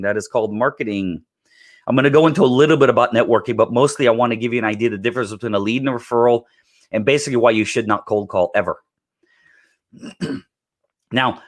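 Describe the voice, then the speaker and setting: A middle-aged man with a raspy voice from recent illness, giving an emphatic live training on real estate networking and often clearing his throat. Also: mid-range voice, a bit bright.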